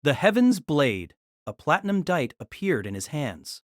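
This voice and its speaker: Man, fantasy audio drama narrator, expressive swooping intonation, dry close sound. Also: a little high, unhurried, no room sound. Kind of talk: scripted story narration.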